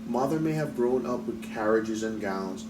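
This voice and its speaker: A man's voice: medium pitch, expressive, normal speed, distant mic, noisy background, close to an everyday voice. A man voicing a proud, indignant teenage girl in a storybook, terse and resentful. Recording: roomy echo, off mic, music behind.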